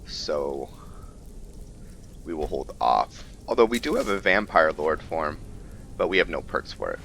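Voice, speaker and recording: A man's voice: a bass voice, sing-song, a little slow, vocal fry, fairly bright tone, slight background noise. A man hosting a gaming video, giving casual let's-play commentary as he plays.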